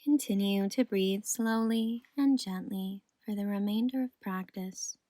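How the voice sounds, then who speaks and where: A woman leads a guided meditation at a very slow, unhurried pace. Her delivery is gentle and soothing, with a middle-range pitch. The recording is close and dry, with no room sound. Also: dry recording, rough recording.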